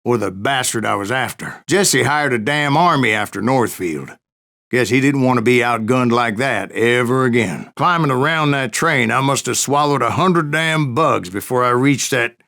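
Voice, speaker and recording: Old bounty hunter, gravelly creaky voice, medium pitch, American Western drawl, folksy boastful storyteller, game narration. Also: male voice, animated, moderate pace, pushed and bright. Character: grizzled old Wild West bounty hunter spinning tall tales. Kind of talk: in-character video game narration.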